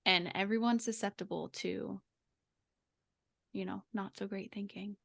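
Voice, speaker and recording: A woman's voice: mid-range voice, fairly even intonation, unhurried, vocal fry. A woman co-hosting a podcast, reasoning aloud in a reflective, conversational way. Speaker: podcast co-host. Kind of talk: casual podcast chat.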